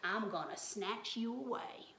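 A woman voicing a nasty male swamp ghost with a breathy moan in a storybook. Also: mid-range voice, unhurried, pushed and bright. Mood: menacing. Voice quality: moaning, breathy. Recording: roomy indoor echo.

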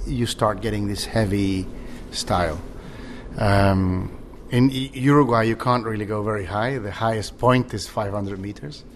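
A man from Chile, a winery general manager, talking casually about wine with many halting restarts. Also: fairly low pitch, a little hiss or hum. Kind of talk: casual conversation.

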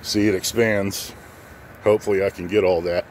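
Middle aged american guy, lowish voice, chatting casually through a how-to outside on his phone, bit of background noise. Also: flat delivery, moderate pace, darkish tone. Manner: informal, plain-spoken. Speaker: pressure washing business owner and channel host. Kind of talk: casual how-to vlog, unscripted. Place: outdoors in a residential driveway. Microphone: handheld phone at arm's length. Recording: outdoor phone audio with light background noise.

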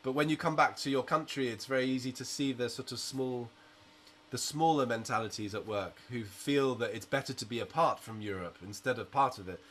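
Man from britain, thirties, musician guest, mid-pitched voice, earnest and outspoken, laptop webcam in a small attic room. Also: brisk, a bit bright, a little hiss or hum. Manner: earnest and outspoken, with you-knows. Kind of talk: video call interview. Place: small home room under a sloped roof. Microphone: laptop webcam on a video call.

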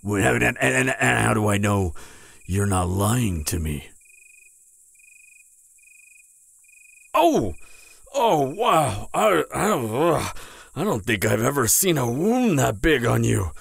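A man performs a proud male vampire hunter in an intimate audio roleplay, hurt and stammering through the pain. His voice is raspy and creaky, recorded close and dry. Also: mid-range voice, sing-song, a bit bright, dry recording, full character voice. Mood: flustered, suspicious. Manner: stammering, halting, breathy sighs and groans. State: in pain, strained.

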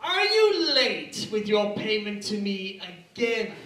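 Man playing a pompous, high-voiced Victorian gentleman. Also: big pitch swings, heavily acted. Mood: pompous menace. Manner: pompous, formal, slow menace. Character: haughty, stern Victorian London gentleman creditor. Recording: roomy club sound, distant, audience noise.

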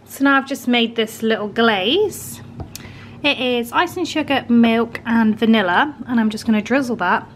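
A woman's voice: a little high, moderate pace. A British woman in her thirties giving a casual, chatty cooking demonstration, informally thinking aloud as she works. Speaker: home baking vlogger. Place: home kitchen, indoors. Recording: household kitchen noise, dish clatter.

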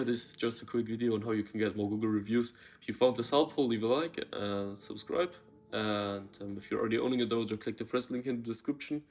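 A young man in his twenties, a consultant for martial arts schools, giving casual talking-head advice from a room in his flat. He talks loosely, with many ums and restarts. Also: on the low side. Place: bright room in a flat, indoors.

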